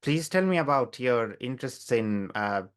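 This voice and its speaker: A man's voice: medium pitch, expressive, moderate pace, clear, resonant tone. A man interviewing a guest, asking questions hesitantly with many fillers. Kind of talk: casual interview.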